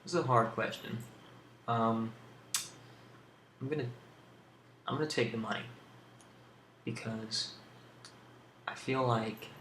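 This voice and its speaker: A man speaking in a casual ASMR question-and-answer style, rambling hesitantly in a low-key way. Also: fairly bright tone. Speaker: video creator answering tag questions. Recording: roomy echo, clear background noise.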